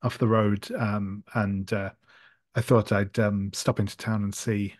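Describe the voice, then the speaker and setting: A man acts out an awkward, mild-mannered traveller in a tabletop game. The voice is fairly low and recorded dry, with polite phrases broken by hesitant stammers. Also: level tone, measured pace, no echo. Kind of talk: improvised tabletop roleplay. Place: home room on a video call.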